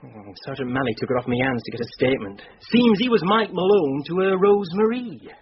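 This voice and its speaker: An actor playing a harassed, exasperated police station officer in a radio drama, flustered and weary. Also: male voice, fairly high pitch, sing-song, brisk. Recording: dull lo-fi radio with faint noise.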